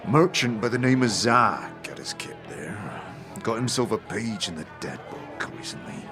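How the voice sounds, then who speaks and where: Casting: big bald market trader, jovial and chuckling, very animated delivery, music underneath. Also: male voice, lowish voice, moderate pace. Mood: friendly, folksy. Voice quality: hearty, chuckling. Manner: rustic fantasy street slang, folksy. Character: huge bald burn-scarred blustery jovial male merchant. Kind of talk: acted fantasy audio drama.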